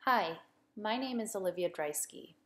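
A woman's voice: mid-range voice, animated, normal speed, slight background noise. A woman in her thirties who grew up in Buffalo, New York, an eye surgeon, introducing herself in a friendly way. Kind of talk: read-aloud self-introduction.

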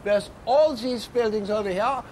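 An actor playing an earnest, guilt-ridden young German man with a German accent, who talks in stiff, over-formal phrases and bursts into shouted rants. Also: high voice, normal speed, slight background noise, natural voice. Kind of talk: TV sketch comedy acting.

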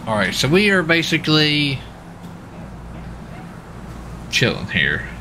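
A man's voice: on the high side, huge pitch swings, normal speed, noisy background. An American man in casual gaming radio chatter, with a folksy, easygoing manner. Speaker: streamer playing a police officer in online roleplay.

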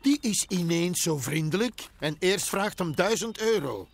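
A man's voice: high voice, lively intonation, a little slow, heavily acted. A man voicing a mocking, suspicious middle-aged Flemish husband, sardonic and grumbling, in a comic book reading. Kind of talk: comic book read aloud in voices.

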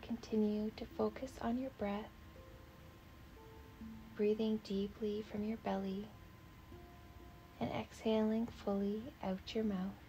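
A woman leading a guided meditation in a soft, breathy, half-whispered voice, gentle, soothing and unhurried. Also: medium pitch, flat delivery, muffled recording, soft background music.

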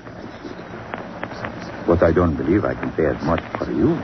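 An actor playing a menacing, suave, mock-courteous villain in a 1940s radio drama. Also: male voice, very deep voice, expressive, moderate pace. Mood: silky menace. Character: menacing, sinister male villain with a murderous caged servant. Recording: noisy, muffled old 1940s radio recording.

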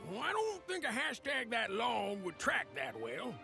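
Shrill, fawning underling. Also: male voice, wildly swooping pitch, a little slow, creaky, bright, forceful tone, soft background music, heavily acted. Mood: nervous. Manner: gushing, obsequious. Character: fawning, nervous male underling. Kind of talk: cartoon parody acting.

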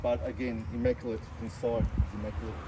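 A man's voice: medium pitch, flat delivery, brisk. A middle-aged man with an Australian accent, casually showing off hot rods in a car park while filming on a phone, chatty with lots of fillers. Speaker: car show presenter. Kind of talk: casual walkaround commentary. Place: outdoor car park beside a diner. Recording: outdoor phone recording with traffic noise.

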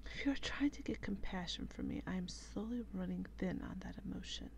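A woman playing an exasperated, protective female vampire in a breathy, whispery ASMR role-play, with slow and dramatic reproach. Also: medium pitch, natural voice. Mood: irritated, weary.

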